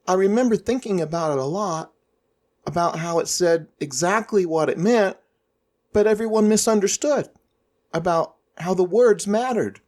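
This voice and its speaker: A man playing a young boy prophet in audio fiction, earnest, thoughtful and gentle. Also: high voice, moderate pace, lightly acted. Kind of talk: audio fiction character acting.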